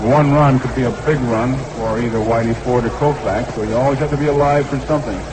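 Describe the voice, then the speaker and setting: Male baseball announcer, 1960s radio style, mid-pitched, clipped delivery, clearly noisy archival broadcast sound. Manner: short clipped phrases, repeats the count. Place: ballpark broadcast booth.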